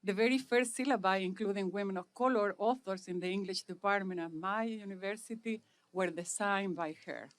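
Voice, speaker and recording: Casting: woman from Chile, Chilean Spanish accent, formal inauguration address, measured and deliberate. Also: medium pitch. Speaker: speaker at an inauguration. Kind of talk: formal prepared speech.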